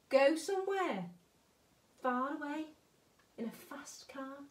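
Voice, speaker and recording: A woman acting a jaded, wistful hotel cleaner in a stage monologue, blunt, wry and conversational. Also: high-pitched. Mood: wistful, weary. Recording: roomy, echoing space.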